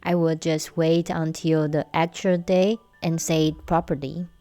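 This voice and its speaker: A young woman hosts her own podcast, telling personal stories with gentle humor. She talks at an unhurried, deliberate pace in a slightly low voice. The sound is dry, with faint music underneath. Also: level tone, no room sound. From Taiwan. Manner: slow, measured storytelling with light humor. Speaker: podcast host. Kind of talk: casual solo podcast chat.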